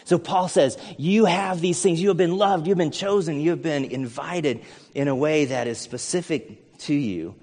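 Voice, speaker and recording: A man's voice: on the high side, normal speed, a little mellow. Earnest male pastor in reverberant hall. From United States. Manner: earnest, conversational, with storytelling asides. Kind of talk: sermon.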